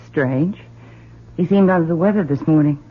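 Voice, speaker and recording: A woman's voice: sits low, normal speed, lightly acted. An actress playing a fretful, doting middle-aged wife and mother in an old-time radio drama, emotional and fussing. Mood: anxious, insistent. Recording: tinny, noisy old radio recording.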